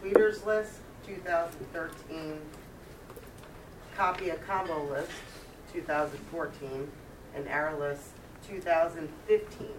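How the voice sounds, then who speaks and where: A woman's voice: sits low, fairly even intonation, slow pace. A woman at a club meeting, chatty and amused, listing records she kept. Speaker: club member. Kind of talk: meeting discussion. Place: meeting room. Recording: roomy, distant, noisy.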